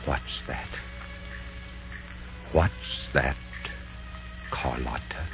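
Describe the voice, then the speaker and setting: An actor playing a weary, proud, lonely old Spanish conquistador in a 1940s radio drama, theatrical and wistful. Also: male voice, a bass voice, drawn-out words, gravelly, full character voice. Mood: desperate longing. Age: older. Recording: muffled 1940s radio broadcast, music behind.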